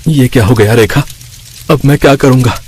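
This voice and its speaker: A man's voice: mid-range voice, moderate pace, a little mellow, slightly rough, close to an everyday voice. A man voicing a worried, humble village eatery owner in a cartoon, speaking plaintively. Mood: despairing.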